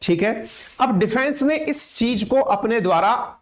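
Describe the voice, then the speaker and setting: A man's voice: very high-pitched, lively intonation. A man with an Indian accent teaching current affairs in a didactic, explanatory way, often checking that listeners follow. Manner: didactic, pausing to check with "theek hai".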